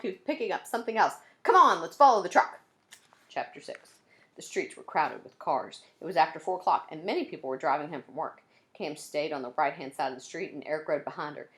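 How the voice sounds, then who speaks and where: A woman teacher reading a children's chapter book aloud, adding friendly asides to her young listeners. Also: low-pitched. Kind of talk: children's read-aloud narration.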